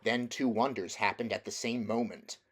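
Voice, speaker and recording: A man reading a children's novel aloud as a narrator, in a measured, deliberate storytelling manner. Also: lowish voice, steady tone, a bit bright. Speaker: audiobook reader.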